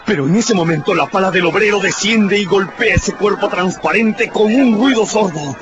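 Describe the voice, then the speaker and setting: A man's voice: sits high, moderate pace, fairly bright tone, a little hiss or hum. A man giving theatrical, emphatic scripted narration for a radio drama. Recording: muffled, under prominent music. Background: dramatic music.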